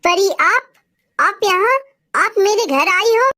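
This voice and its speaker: A poor little orphan bird girl from a cartoon. Her voice sits extremely high and childlike. She talks in a plaintive, pleading way. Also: animated, slow pace, sharp tone, heavily acted. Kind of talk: Hindi cartoon dubbing.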